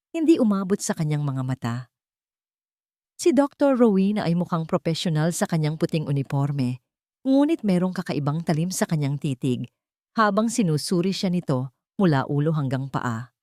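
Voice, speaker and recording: Woman storyteller, somber, measured, lowish voice, dry close sound. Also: dark tone, no room sound. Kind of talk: scripted narration of a drama story.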